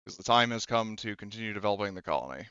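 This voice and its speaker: A man with a smooth, clear voice leads a casual tabletop game as game master. He rambles and swears easily. The sound is dry and slightly dull, as if from a close, muffled microphone. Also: fairly low pitch, animated, very fast, pushed and bright, no room sound. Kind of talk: casual tabletop roleplaying session.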